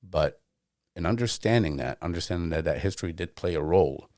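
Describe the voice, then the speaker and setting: Podcast host guy, really deep voice, thinks out loud, dry close mic. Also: animated, a little fast, dry recording. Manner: thoughtful, searching for words, restarts. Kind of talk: casual conversation.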